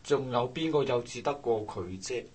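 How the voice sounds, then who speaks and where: Man acting a husky, resentful young Hong Kong coworker. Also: medium pitch, normal speed, darkish tone, soft background music, close to an everyday voice. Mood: sarcastic, resentful. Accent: Hong Kong Cantonese. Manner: sarcastic, grumbling. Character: resentful young male coworker, sarcastic and wounded. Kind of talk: radio drama acting.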